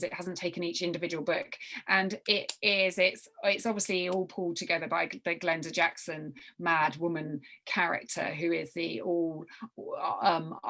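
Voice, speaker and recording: Woman leading an informal, halting group briefing. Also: fairly low pitch, a bit bright. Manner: halting, repeats and restarts phrases emphatically. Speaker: writing workshop leader. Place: online group video call.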